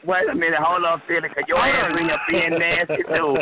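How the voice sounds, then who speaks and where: A man's voice: a little high, level tone, fairly quick, heavy background noise. A man on a group phone line, teasing the others in crude, brash banter and swearing a lot. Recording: tinny phone line with music behind.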